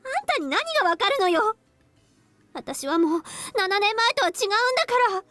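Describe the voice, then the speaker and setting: A cute schoolgirl from an anime visual novel. Her voice is tiny and very high, the delivery quick and emotional. Music plays behind her. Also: very animated, sharp tone, no echo, full character voice. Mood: distressed, defensive. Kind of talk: Japanese visual novel voice acting. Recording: game audio with music behind.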